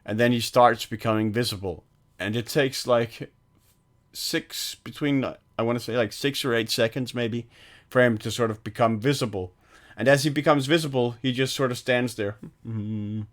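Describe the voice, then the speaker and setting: A man's voice: mid-range voice, moderate pace. A man co-hosting a film podcast, talking casually with many fillers and restarts. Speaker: podcast co-host.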